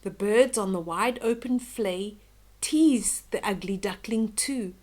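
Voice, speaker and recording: An older woman reading a children's story aloud slowly and expressively, with gentle chatty asides to young listeners. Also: mid-range voice.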